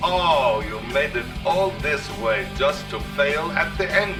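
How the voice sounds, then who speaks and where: An actor playing a theatrical, mocking Soviet premier villain in a game, with a Russian accent. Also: male voice, fairly high pitch, lively intonation, unhurried. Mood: mock pity. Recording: distant, under very loud game music.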